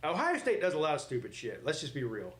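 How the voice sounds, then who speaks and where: A man's voice: fairly high pitch, huge pitch swings, fast talker. A man in his forties hosting a live sports watch party, mixing casual chat with play-by-play in a blunt, joking, rambling way. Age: 40s.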